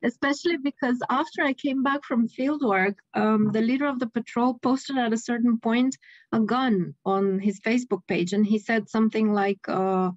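A woman in her forties from Romania, speaking with a Romanian accent, telling a story as an anthropologist guest in an animated way on a video call. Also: fairly high pitch, moderate pace, no echo. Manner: animated, frequent restarts, laughs mid-sentence. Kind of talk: casual interview storytelling. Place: home room with bookshelves. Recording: dry, muffled video call audio.

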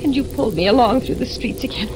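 A woman's voice: fairly high pitch, expressive, quick pace, natural voice. A woman playing a frightened, pleading wife in a 1940s radio drama, with melodramatic delivery. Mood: haunted, fearful. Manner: breathless, tearful, melodramatic pleading. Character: terrified, pleading wife recounting a nightmare. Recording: noisy vintage 1940s radio recording.

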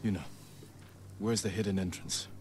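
An actor playing an earnest, honorable samurai warrior in a video game, measured and formal. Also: male voice, low voice, fairly even intonation, darkish tone, a little hiss or hum, natural voice. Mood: grave determination. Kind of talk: dramatic video game acting.

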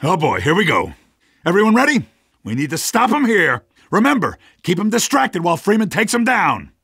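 Gritty, high-strung resistance fighter. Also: male voice, wildly swooping pitch, moderate pace, gravelly, pushed and bright, husky, no room sound, clean studio sound, full character voice. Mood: tense, commanding. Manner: urgent, emphatic. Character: gritty male resistance fighter rallying troops under attack. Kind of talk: video game battle barks.

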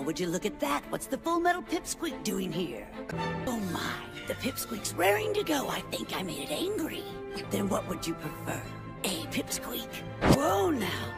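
A woman voicing a cruel, youthful, androgynous shapeshifting monster in an anime dub, sneering and playfully taunting. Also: mid-range voice. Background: loud music.

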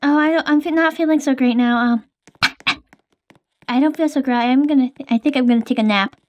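A woman's voice: high-pitched, a little fast, background noise. A young woman hosting and narrating a game roleplay in a casual, hesitant way with many ums.

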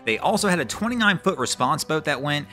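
Lively male boating news narrator. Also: on the high side, brisk. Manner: lively, rising and falling storytelling delivery. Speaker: host of a weekly boating news show. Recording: voice-over with faint background music.

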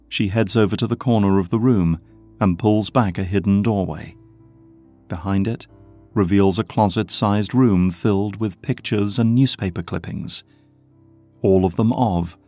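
A man's voice: lowish voice, darkish tone. A man reading scripted narration for an audio drama.

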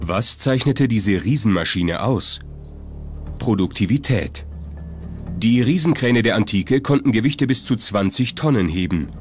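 Low-voiced man narrating over prominent music. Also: lively intonation, a little slow. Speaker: documentary narrator. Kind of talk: scripted documentary narration. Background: prominent background music.

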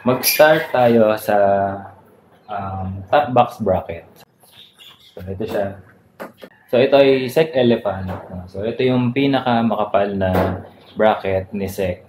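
A man's voice: fairly low pitch, slow pace, a little mellow. A man with a Filipino accent hosting a casual vlog, talking conversationally with frequent little tag words. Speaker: motovlogger and channel host. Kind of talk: casual vlog product rundown. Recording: roomy echo, clear background noise.

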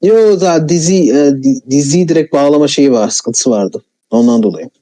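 A man's voice: fairly high pitch, lively intonation, moderate pace, dark tone, rough recording. A man giving blunt, argumentative film criticism in a casual, colloquial conversation. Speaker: film commentator, video maker.

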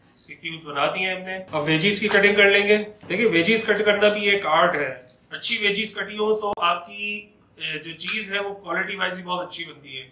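A man's voice: sits high, bright, forceful tone, echoey room. A middle-aged man hosting a home cooking channel, explaining each step patiently while he chops at the counter. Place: home kitchen, indoors.

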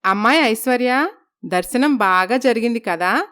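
A woman's voice: a little high, expressive, unhurried, fairly bright tone, clean tone. A woman voicing a stern older mother-in-law who scolds her son in a storybook tale. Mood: curious. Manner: scolding, commanding.

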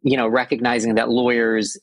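A man's voice: medium pitch, level tone, a little fast. A man in his forties with an American accent, a lawyer speaking as a guest on a video call interview, earnest and repeating phrases for emphasis. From United States. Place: indoors, small room.

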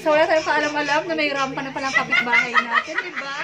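A woman's voice: high voice, very animated, fast talker, a loud crowd. A woman in her thirties giving a casual, teasing house tour on a handheld phone camera, gushing and giggling as she goes. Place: small cluttered house indoors.

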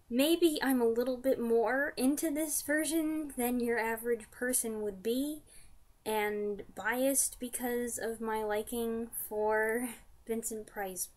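A woman in her twenties chatting casually to camera, giving an enthusiastic fan review in informal slang. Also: a little high. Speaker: vlogger reviewing radio drama. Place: small furnished room indoors.

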